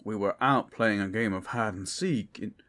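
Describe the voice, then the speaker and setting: A man's voice: fairly low pitch, moderate pace, fairly bright tone, natural voice. A man playing a flirtatious, protective werewolf guide in an ASMR roleplay, teasing and folksy with a sardonic edge. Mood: firm, cool. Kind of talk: ASMR roleplay audio drama.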